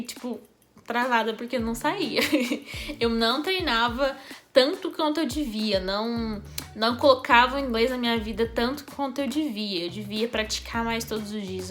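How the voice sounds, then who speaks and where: A young woman from Brazil with a Brazilian Portuguese accent, telling a personal story in a chatty, animated vlog, laughing often. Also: on the high side. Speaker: YouTuber.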